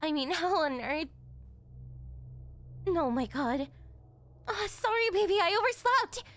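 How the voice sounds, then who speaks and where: A woman's voice: extremely high pitch, expressive, takes it slowly, a bit bright, voice pushed up, heavily acted. A woman voicing a shy, flustered tsundere young girlfriend in an anime-style ASMR roleplay, defensive and stammering, with a whiny edge.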